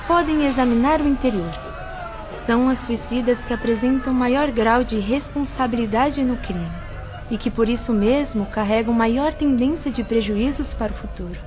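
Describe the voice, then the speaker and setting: A woman's voice: fairly high pitch, normal speed, close to an everyday voice. A woman with a Brazilian accent playing a kind, delicate young religious sister who guides visitors in an audio drama. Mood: grave explaining. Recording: muffled audio drama, loud music bed.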